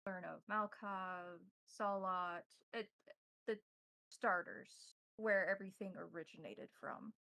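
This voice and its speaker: A woman running a tabletop roleplaying game as game master, narrating in a measured, formal way with deliberate wording. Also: mid-range voice, fairly even intonation, gravelly, pushed and bright, no echo, poor audio.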